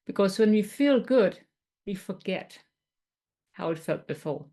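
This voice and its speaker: A woman from Denmark with a Danish accent, teaching tapping in a webinar in a gentle, explanatory way with some non-native phrasing. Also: medium pitch, animated, measured pace. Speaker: tapping coach.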